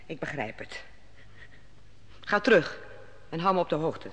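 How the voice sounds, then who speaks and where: A woman's voice: low-pitched, big pitch swings, measured pace, a bit bright, breathy edge, heavily acted. An actress playing a middle-aged queen in an old radio drama, anxious, questioning and commanding. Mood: worried. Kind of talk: 1950s-60s radio drama acting. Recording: old radio play with long reverb and faint hiss.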